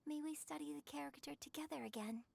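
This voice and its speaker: A woman's voice: high voice, fast talker, gravelly, darkish tone. An actress voicing a refined, gracious young woman opera performer in a video game, with formal, poised speech. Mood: earnest, grateful. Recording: faint background noise.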